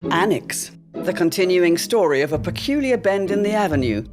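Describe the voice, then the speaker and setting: Actress as emphatic, low-voiced period announcer. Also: female voice, animated, normal speed, music in the background, natural voice. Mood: grand, emphatic. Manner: emphatic, declamatory. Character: serial narrator and period advertisement announcer. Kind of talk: scripted period radio announcing.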